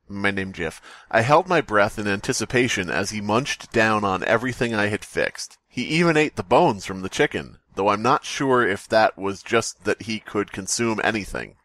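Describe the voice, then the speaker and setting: A man's voice: lowish voice, animated, moderate pace, fairly bright tone, no echo. A man co-hosting a podcast, reading aloud and riffing casually with irreverent, jokey interjections. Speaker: podcast co-host. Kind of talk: casual group read-aloud and joking commentary.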